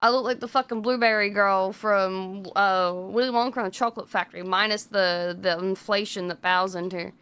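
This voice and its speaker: A woman's voice: mid-range voice, steady tone, normal speed, few pauses, a bit bright, clear, resonant tone. A young woman streamer chatting casually with viewers, swearing freely and laughing often. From Australia.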